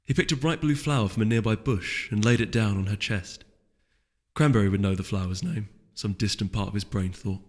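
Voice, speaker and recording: A man reading fantasy fiction as a story narrator, in an expressive, suspenseful scripted style. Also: lowish voice, brisk. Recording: long hall reverb under music.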